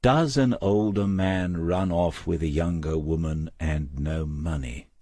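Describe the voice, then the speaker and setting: Very deep, husky male audiobook narrator. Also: measured pace, warm tone, no echo. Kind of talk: scripted crime novel narration.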